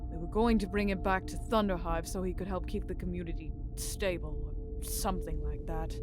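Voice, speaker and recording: A woman's voice: on the low side, fairly even intonation, brisk, lightly acted. A woman playing a defiant, distrustful space pirate in an audio drama, blunt and emotional. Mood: confused, indignant. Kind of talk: audio roleplay drama acting. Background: background music.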